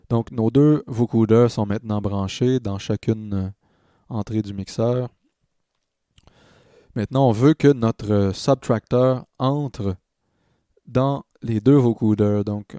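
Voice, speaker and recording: Slow, mellow man teaching music software. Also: lowish voice, dry recording, clean studio sound. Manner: hesitant, thinking aloud while demonstrating. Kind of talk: step-by-step screen tutorial narration.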